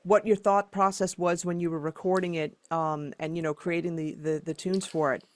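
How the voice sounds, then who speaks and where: A woman's voice: on the low side, steady tone, normal speed, noisy background. A woman hosting a podcast interview, talking in a rambling way with many fillers. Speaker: podcast host. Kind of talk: interview chat.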